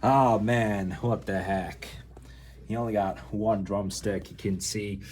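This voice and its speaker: A man with a fairly low voice records a casual vlog. He rambles along in a joking way, often talking to himself. There is some light ambient noise, like traffic, behind him. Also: steady tone, slow, darkish tone. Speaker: vlogger.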